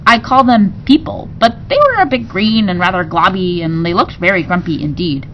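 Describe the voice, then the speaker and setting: A woman's voice: fairly low pitch, sing-song, normal speed, fairly bright tone, muffled recording, a little hiss or hum. A woman reading a children's storybook aloud expressively, like a teacher to a class.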